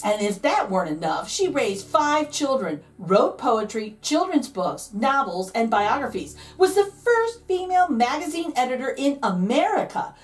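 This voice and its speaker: A woman reads a children's picture book with playful, theatrical emphasis. Her voice sits fairly high and swings widely in pitch, stretching key words for drama. She sounds a little away from the microphone. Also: very animated, moderate pace, hardly any pauses, distant mic. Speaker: read-aloud host, storyteller. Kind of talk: expressive children's picture book read-aloud.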